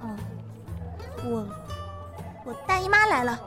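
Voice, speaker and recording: A woman's voice: fairly high pitch, sing-song, slow, over music. A woman acting a touchy, loyal young office assistant in an audio drama, blunt and defensive. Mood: agitated, defensive.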